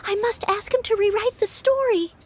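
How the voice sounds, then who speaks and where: A woman's voice: extremely high pitch, normal speed, a little mellow. A woman voicing an earnest, modest young maid knight in a game, polite and a little hesitant. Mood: flustered. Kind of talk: video game character acting. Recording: background music under voice.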